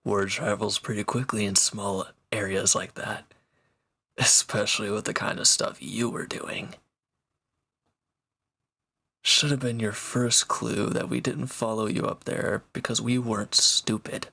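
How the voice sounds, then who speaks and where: A man's voice: fairly low pitch, little pitch movement, moderate pace, creaky, pushed and bright, breathy edge, full character voice. A man playing a sardonic, teasing reformed villain in an intimate one-sided roleplay audio drama, with dry, casual delivery. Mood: dry, matter-of-fact.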